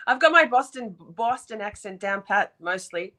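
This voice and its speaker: A woman's voice: mid-range voice, lively intonation, unhurried, over a noisy crowd, poor audio. An Australian woman with an Australian accent, hosting a casual livestream chat in a bubbly, laughing way. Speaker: host.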